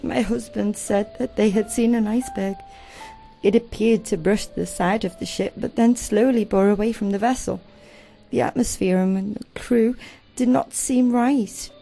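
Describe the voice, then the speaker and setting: A woman acting a distressed, weary married shipwreck survivor in a radio play, recalling it haltingly and dramatically. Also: a little high, creaky, warm tone. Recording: community radio play with faint music.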